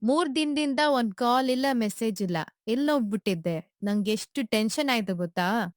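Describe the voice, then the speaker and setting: A woman's voice: a little high, animated, moderate pace, dry recording, studio quality, faint music behind, natural voice. A woman with an Indian accent playing a demanding, anxious young girlfriend, reproachful and questioning in short-film drama dialogue.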